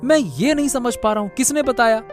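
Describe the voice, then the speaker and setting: A man voicing a concerned, excitable village man in a cartoon, questioning and emphatic. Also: very high voice, a little fast, faint music behind. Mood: indignant.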